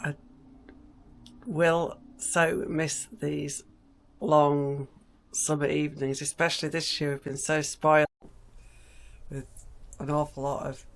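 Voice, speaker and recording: A woman vlogging casually from her garden greenhouse, her voice croaky after a cold, chatting in a rambling way with frequent ums. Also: low voice, level tone, takes it slowly, poor audio. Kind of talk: casual gardening vlog chat.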